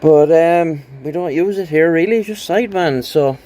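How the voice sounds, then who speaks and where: A man's voice: a little high, normal speed, dark tone. A man giving a casual, rambling, understated hands-on show-and-tell as a hobbyist, with some hesitation. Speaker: radio hobbyist presenting a rebuilt CB radio.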